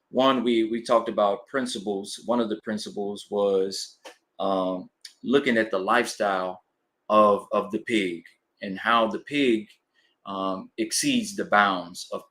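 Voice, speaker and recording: Low-voiced man teaching, halting and deliberate. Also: a bit bright. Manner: halting, deliberate, repeats phrases for emphasis. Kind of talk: informal sermon, explanatory teaching.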